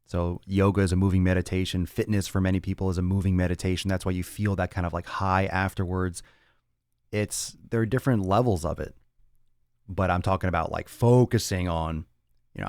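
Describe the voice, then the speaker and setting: A man's voice: low voice, darkish tone, no echo, studio quality. A man hosting a fitness podcast, answering listener chat in a blunt, profane, rambling way with lots of restarts. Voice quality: raspy on some days. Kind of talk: casual solo talk answering listener chat.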